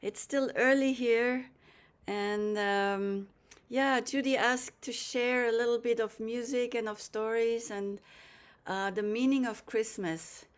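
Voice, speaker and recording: Unhurried woman telling a story. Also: fairly high pitch, fairly even intonation, clear, resonant tone. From Austria. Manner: soft-spoken, hesitant with frequent ums. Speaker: guest musician and storyteller. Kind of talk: relaxed storytelling with gentle pauses.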